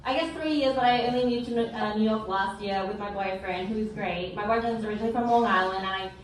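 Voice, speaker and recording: A woman's voice: medium pitch, fast talker. A woman with an Australian accent doing a stand-up set in a small club, bubbly and self-deprecating. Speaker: stand-up comedian. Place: small comedy club stage indoors. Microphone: stage mic, heard from an audience camera. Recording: distant, echoey club audio with audience noise.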